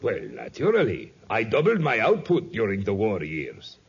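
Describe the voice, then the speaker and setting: Boastful planter in old radio drama, low husky voice, really swoops around. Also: male voice, wildly swooping pitch, moderate pace, exaggerated voice. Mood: proud, obliging. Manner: boastful, eager. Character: proud, eager male rubber plantation owner. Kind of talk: 1950s radio adventure serial acting. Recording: muffled, raspy 1950s radio recording.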